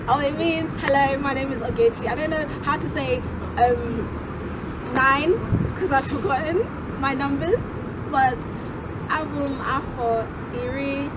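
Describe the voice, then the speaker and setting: A nineteen-year-old woman with a British accent speaks in a high, bright register, answering interview questions thoughtfully. She pauses often on small ums. Loud street and car noise runs underneath her voice. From London, England. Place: indoor room. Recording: loud traffic noise behind.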